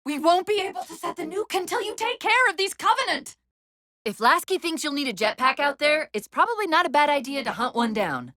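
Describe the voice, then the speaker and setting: A high, lively female AI companion from a sci-fi video game. She gives crisp military commands and briefings with brisk, wry urgency, her pitch moving freely, recorded very dry and close. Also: dry recording, exaggerated voice. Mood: urgent, alarmed.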